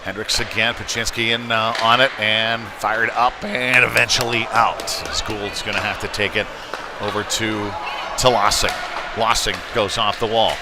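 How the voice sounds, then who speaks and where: A man doing live play-by-play hockey commentary in a halting delivery with frequent fillers. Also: on the low side, little pitch movement. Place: indoor ice rink. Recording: noisy live rink stream.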